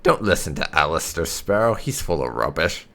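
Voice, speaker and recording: A man's voice: a bass voice, sing-song, moderate pace, vocal fry, bright, forceful tone. A man hosting a gaming video, playfully improvising replies to game characters as light roleplay commentary. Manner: playful, chuckly.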